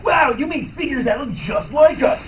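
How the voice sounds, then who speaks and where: Brash excitable teen adventurer played by a man, lively swings, live manga reading with some background noise. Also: very high voice, normal speed. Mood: excited. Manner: loud, excitable, goofy. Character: excitable brash teenage boy adventurer, eager for fights. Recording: distant live convention recording, some noise.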